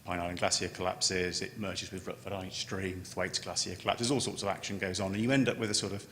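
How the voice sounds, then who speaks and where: A man giving an unscripted technical conference presentation as a scientist, halting and often repeating words as he starts a phrase. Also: little pitch movement, fairly bright tone. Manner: halting, with stammered word repetitions. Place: large conference room. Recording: echoey hall, noticeable background noise, low rumble.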